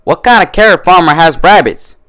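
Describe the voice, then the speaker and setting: A man's voice: high-pitched, animated, a little fast, a bit bright, pitched above the performer's own voice. A man voicing a teasing, argumentative female carrot-farmer pony in a cartoon fan-fiction reading. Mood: needling. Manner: needling, argumentative.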